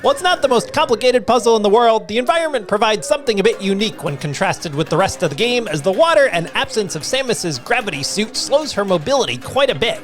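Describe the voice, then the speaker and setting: A man delivering scripted voice-over commentary for a gaming video, lively and self-deprecating with casual asides. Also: sits high, fast talker, hardly any pauses. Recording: voice-over with loud background music.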